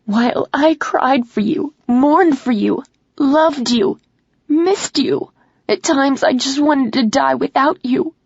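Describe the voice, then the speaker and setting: A woman voicing a grieving, furious young woman who confronts her lover in heated, shrieking outbursts, with a husky, gravelly voice. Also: mid-range voice, animated, a little slow, darkish tone, no echo, lo-fi sound.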